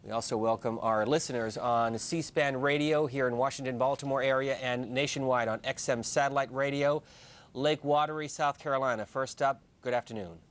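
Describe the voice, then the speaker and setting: A man hosting a live broadcast call-in programme, announcing and putting questions in a measured way. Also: medium pitch, fairly even intonation. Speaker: call-in show host.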